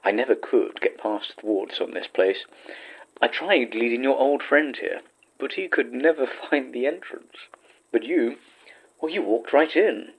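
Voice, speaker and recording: A smug supernatural villain talks slowly in a husky, breathy voice of medium pitch. The manner is silky and mocking, as in a horror audio drama. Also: male voice, rough recording. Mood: gloating. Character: smug, taunting supernatural villain, falsely polite.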